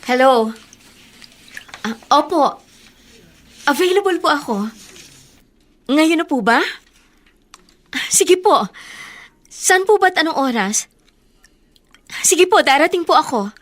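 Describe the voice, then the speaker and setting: A brisk, higher-voiced older sister. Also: female voice, animated, fairly bright tone, full character voice. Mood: polite, upbeat. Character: hardworking, protective older sister, busy saleswoman. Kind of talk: Tagalog radio drama acting.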